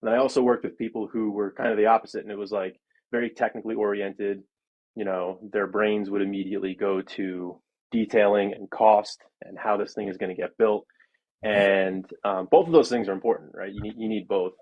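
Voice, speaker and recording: A man's voice: lowish voice, little pitch movement, brisk, highs cut off. A man of thirty-one, an architect guest in a casual interview, talking in a chatty, rambling way full of "like" and "um". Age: 30s.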